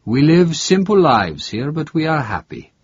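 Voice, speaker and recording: A man's voice: a little high, big pitch swings, a little slow, muffled recording, a little hiss or hum, pitched above the performer's own voice. A man voicing a cheerful middle-aged bartender. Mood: genial.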